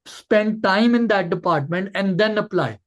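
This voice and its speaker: A man's voice: high voice, a little slow. A man coaching callers in an informal online Q&A, blunt and emphatic, often repeating words to drive a point home. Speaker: coach hosting a Q&A session.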